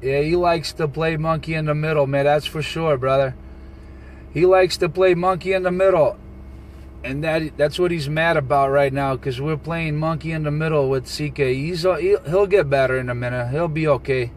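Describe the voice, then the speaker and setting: A man with a voice a little above average pitch chats casually on CB radio. He repeats his phrases and tosses in "man" and "brother." A faint bed of radio and vehicle noise sits with the voice. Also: fairly even intonation, moderate pace. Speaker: CB radio operator.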